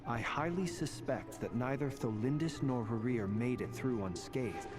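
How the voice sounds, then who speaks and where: An actor playing a courteous, shrewd strategist in a fantasy game, eloquent and deliberate. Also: male voice, medium pitch, over music, natural voice. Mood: confident, reasoning. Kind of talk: video game cutscene acting.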